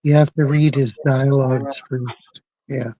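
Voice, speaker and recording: A man's voice: mid-range voice, steady tone, unhurried, dark tone, no room sound. A man in casual conversation giving offhand explanations in a rambling, hesitant, agreeable way.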